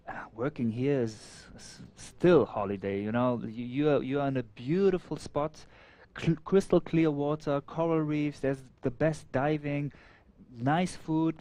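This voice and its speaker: A man from Afghanistan whose first language is Persian, speaking English as a guest co-commentator. He talks in a friendly, halting way with restarts. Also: medium pitch, darkish tone. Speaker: guest co-commentator and event organizer. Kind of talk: casual commentary chat.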